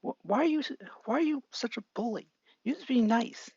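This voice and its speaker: A man doing a high, eager, timid boy. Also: brisk, dark tone, slightly rough, dry recording, highs cut off, full character voice. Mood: pleading. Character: timid earnest boy hero, excitable.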